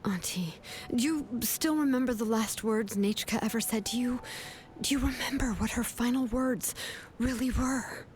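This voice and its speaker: An actress playing a calm, confident woman in a video game, speaking in a measured, earnest way. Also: on the high side, a little hiss or hum, natural voice. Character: calm, firm adult woman, confident leader. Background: faint game music.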